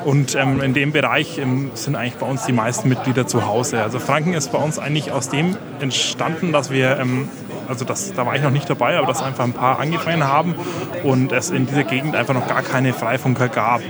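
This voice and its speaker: A man's voice: medium pitch, steady tone, a little fast. A man with a Franconian German accent, answering interview questions casually and hesitantly, with many filler sounds. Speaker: guest. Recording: loud crowd chatter behind.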